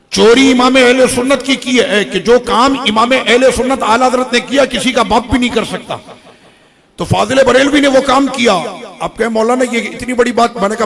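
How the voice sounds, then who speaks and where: A man's voice: very high-pitched, vocal fry, fairly bright tone. A man preaching an impassioned sermon, stacking repeated phrases as he builds to his points. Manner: impassioned, repetitive rhetorical build-ups. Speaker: Islamic preacher.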